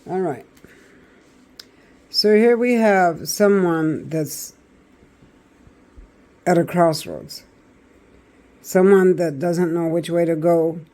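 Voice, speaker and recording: A man with a raspy voice doing a chatty tarot reading, talking straight to his viewers. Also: fairly high pitch, takes it slowly, long pauses, mellow. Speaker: tarot reader.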